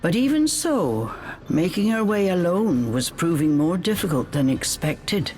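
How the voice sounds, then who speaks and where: A woman playing a storybook narrator, telling a fairy tale in a video game in a measured, dramatic way. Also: fairly low pitch, very animated, a little mellow. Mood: storytelling. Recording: music behind the narration.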